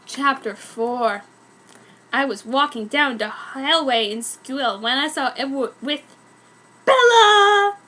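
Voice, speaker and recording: A woman's voice: sits high, animated, slow pace, pushed and bright. A woman giving a dramatic comic reading of fan fiction, amused yet mock-serious. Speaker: reader. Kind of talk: dramatic comic narration of a fanfic.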